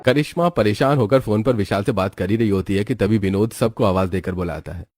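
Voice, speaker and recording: A man's voice: fairly low pitch, a little fast, darkish tone, faint music behind. A man reading scripted story narration as the storyteller.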